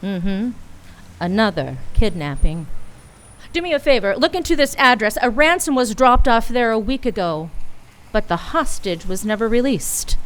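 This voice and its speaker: A woman's voice: fairly high pitch, expressive, normal speed, natural voice, low-quality recording. A woman playing a tough, wry female private detective in a noir radio play, with a hard-boiled, clipped, wisecracking delivery. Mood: brusque.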